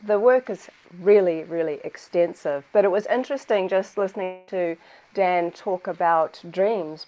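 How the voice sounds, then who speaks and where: A woman with a New Zealand accent speaks on a video call in a slightly low voice. She explains her work fluently and with clear enthusiasm. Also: mellow, rough recording. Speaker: guest researcher. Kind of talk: conversational explanation.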